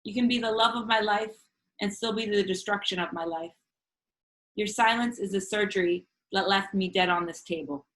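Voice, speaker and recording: Woman, Spanish as first language, featured poet, measured earnest reading, chatty asides, video-call mic, mid-pitched voice. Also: a bit bright. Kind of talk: reading her own poetry at an online open mic.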